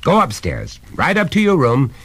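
A man's voice: medium pitch, huge pitch swings, normal speed, a bit bright, breathy edge, pitched above the performer's own voice, heavily acted. A man voicing a stern, pompous father who lectures and bullies with cold, emphatic precision in a storybook reading. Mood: angry, threatening.

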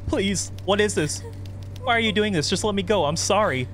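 A man's voice: normal speed, a bit bright. A man voicing a frightened captive woman from a horror game, pleading desperately. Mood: scared, bewildered. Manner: pleading, higher-pitched. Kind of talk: reading horror game dialogue aloud.

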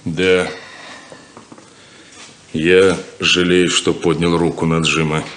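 An actor playing a stern, blunt, domineering older brother in a 1970s Soviet radio play. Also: male voice, deep voice, flat delivery, fairly bright tone, reverberant, slight background noise. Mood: cold, solemn. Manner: domineering, deliberate, lecturing.

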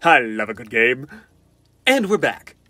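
A man's voice: mid-range voice, very animated, measured pace, pushed and bright, dry recording, pitched below the performer's own voice, heavily acted. A man voicing a cocky, flirty, goofy wisecracking survivor for fan-made video game lines, in a playful, theatrical, quippy manner. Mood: wry, joking. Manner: playful, theatrical, quippy, often sing-song.

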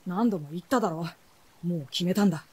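A woman playing a resolute, cool-headed teenage boy with a husky voice in a radio drama. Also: on the low side, brisk, a little mellow. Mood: firm. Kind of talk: Japanese radio drama acting.

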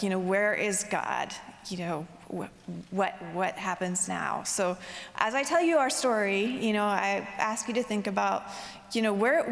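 A young woman speaks earnestly into a handheld mic on a large chapel stage, often pausing with ums and you knows. Her voice sits in a middle pitch range and rings through a roomy hall with a long reverb tail. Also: creaky. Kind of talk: testimony talk.

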